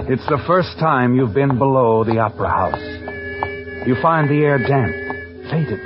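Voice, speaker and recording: An actor playing a sinister, all-knowing narrator in an old radio mystery, speaking in an ominous, taunting, deliberate manner. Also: male voice, mid-range voice, animated, lightly acted. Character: sinister omniscient male narrator addressing the culprit. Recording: muffled vintage radio, loud organ-style music.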